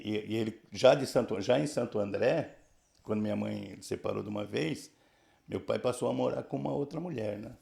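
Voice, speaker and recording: A man in his sixties from the São region of Brazil, telling his life story casually in a halting way, full of restarts and tag questions. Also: on the low side, gravelly, a little mellow. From Brazil, São Paulo region. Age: 60s. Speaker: church member giving testimony.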